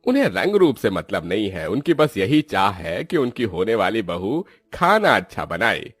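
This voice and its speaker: Man playing a low, lively kindly cartoon priest. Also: normal speed, husky, dry recording, soft background music, heavily acted. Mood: approving, persuasive. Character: kindly older male village priest, avuncular and approving.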